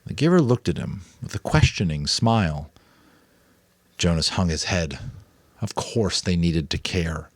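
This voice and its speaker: A man reading a story aloud in a dramatic, expressive narrating style. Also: deep voice, measured pace, no echo. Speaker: audiobook reader. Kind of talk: dramatic narration with occasional casual asides.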